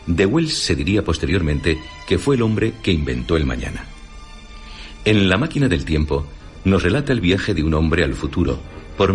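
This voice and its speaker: Low male radio narrator, scripted, lots of melody in the voice, faint music behind.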